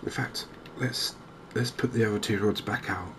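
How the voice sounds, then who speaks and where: A man gives gentle, softly spoken ASMR commentary on a game, chatty and unhurried with hesitant pauses. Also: fairly low pitch. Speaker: gaming video host. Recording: clear steady background noise and ambience.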